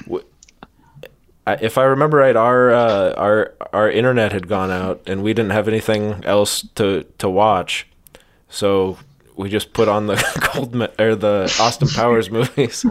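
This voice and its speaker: A man's voice: on the low side, fairly even intonation, unhurried, slight background noise. A man co-hosting a podcast, chatting casually with joking banter and short questions. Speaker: podcast co-host.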